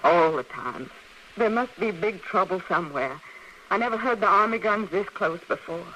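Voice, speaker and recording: A woman playing a frightened, evasive frontier wife with a halting, nervous delivery in an old radio western drama. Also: lowish voice, animated, a bit bright. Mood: distressed. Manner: halting, nervous, breaking off and repeating. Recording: noisy vintage radio recording.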